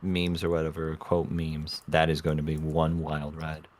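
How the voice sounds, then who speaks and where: Deep mumbling joker on a stream. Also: completely flat delivery, moderate pace, darkish tone. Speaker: streamer co-reader. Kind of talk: casual joking chat.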